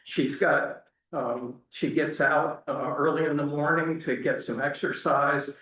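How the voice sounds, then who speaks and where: A man with a somewhat high voice tells a casual story in a folksy, halting way. He is in an echoing church, picked up from some distance. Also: steady tone, sharp tone. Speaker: volunteer speaker. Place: large indoor church. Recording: echoey church hall, distant mic.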